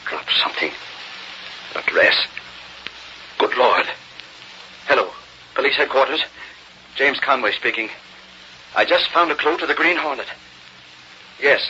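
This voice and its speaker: A man's voice: medium pitch, animated, sharp tone. An actor playing a dazed but determined, indignant middle-aged American man in an old radio drama. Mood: groggy, confused. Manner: halting, muttered fragments turning to firm orders. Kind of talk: 1930s-40s radio drama acting. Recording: dull, noisy old radio recording.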